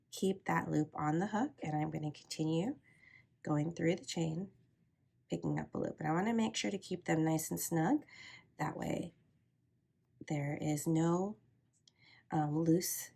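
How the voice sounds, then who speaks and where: A woman with a head cold, sounding congested, calmly talking through a crochet tutorial step by step. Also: deep voice, vocal fry. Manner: calm, steady instructional pacing with soft asides. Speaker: crochet teacher.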